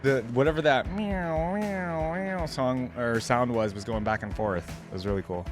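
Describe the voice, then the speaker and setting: Enthusiastic man reacting over loud background music. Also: mid-range voice, moderate pace. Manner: enthusiastic, slangy. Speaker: reaction video host. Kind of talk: casual reaction commentary. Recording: music playing behind the voice. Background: game theme music.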